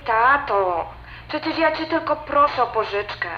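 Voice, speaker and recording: Woman acting high-pitched pushy daughter on phone. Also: animated, measured pace, soft background music. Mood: insistent. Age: young adult. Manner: insistent, cheeky. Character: Karolina, pushy, defiant young adult daughter. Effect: telephone filter. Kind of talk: audio drama dialogue. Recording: muffled phone line, echoey, faint noise.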